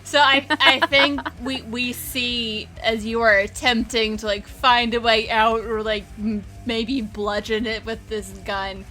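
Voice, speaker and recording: A woman's voice: on the high side, little pitch movement, unhurried, few pauses, pushed and bright, faint music behind. A woman playing a tabletop roleplaying game, improvising and narrating in a casual, conversational way.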